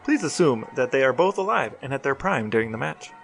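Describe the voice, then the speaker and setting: Male podcast co-host with a gravelly, forward tone, deadpan delivery, faint music bed. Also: medium pitch, brisk. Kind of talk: casual podcast talk with read-out questions.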